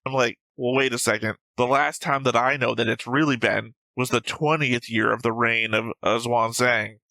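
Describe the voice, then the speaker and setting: A man's voice: mid-range voice, fairly even intonation, moderate pace, vocal fry, pushed and bright, dry recording, low-quality recording. A man giving casual, analytical reaction commentary as a show host, picking at details. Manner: analytical, nitpicking.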